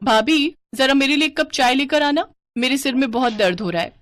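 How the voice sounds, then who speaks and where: Woman doing a shrill, scheming young sister-in-law. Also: fairly quick, fairly bright tone. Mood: bossy. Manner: accusing, melodramatic.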